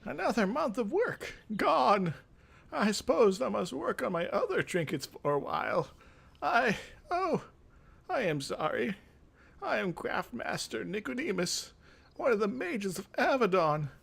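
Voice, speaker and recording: Elderly fantasy-game wizard craftsman, acted by a man: high voice, frail, fussy, apologetic, halting. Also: sing-song, creaky, a bit bright, breathy edge, exaggerated voice. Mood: mournful. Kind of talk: dramatic reading of fantasy game dialogue.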